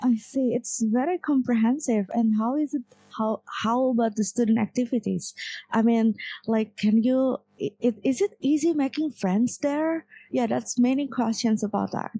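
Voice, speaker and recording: A woman's voice: on the high side, normal speed, dark tone, dry recording. A woman hosting an interview, asking questions with small hesitations. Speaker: interviewer, host.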